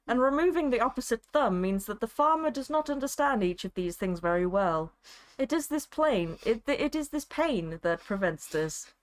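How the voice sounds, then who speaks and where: A woman reading a story aloud in a theatrical, actorly dramatic style, as a streamer would. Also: a little high. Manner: actorly, breaking into amusement at the absurd text.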